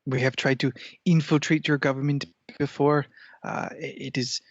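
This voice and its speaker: A man's voice: medium pitch, little pitch movement, moderate pace, no echo, dull audio, natural voice. A man voicing a haughty cat plotting world domination, speaking in stilted, pompous formal phrases for an improvised comedy interview. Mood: sly, grandiose.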